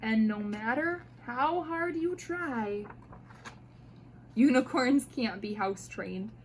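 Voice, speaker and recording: High-voiced woman reading a children's storybook. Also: slow pace, slight background noise. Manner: expressive, playful, addressing young listeners. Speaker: mother reading picture books aloud to children. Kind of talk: animated storybook read-aloud.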